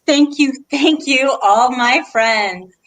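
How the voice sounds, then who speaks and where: A woman's voice: high-pitched, takes it slowly, fairly bright tone, poor audio. A woman co-hosting children's story time, leading a movement rhyme in a playful, sing-song way.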